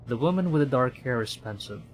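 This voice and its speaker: A man's voice: mid-range voice, lively intonation, brisk, slight background noise. A man from the Philippines, a streamer reading a horror visual novel aloud in a dramatic storytelling manner, with commentary.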